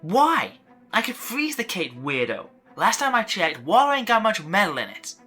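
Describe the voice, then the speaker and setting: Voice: medium pitch, sing-song, normal speed, sharp tone, slightly rough, soft background music, heavily acted. A performer playing a brash, cocky teenage boy with slangy, cheeky talk in an audio drama. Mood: cocky, excited.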